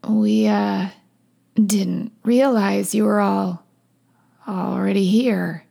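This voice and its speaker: A woman acts out a young man in a fan fiction audiobook, blunt and easily flustered. His voice is thick with gravelly creak and he takes his time. He talks in bro-ish slang with hesitations. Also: fairly low pitch, fairly even intonation, unhurried, darkish tone, heavily acted. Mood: nervous.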